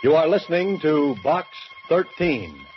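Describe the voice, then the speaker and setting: A man's voice: a little high, very animated, measured pace, over loud music. A male announcer giving a formal, declamatory show break in 1940s radio style, on a dull old recording.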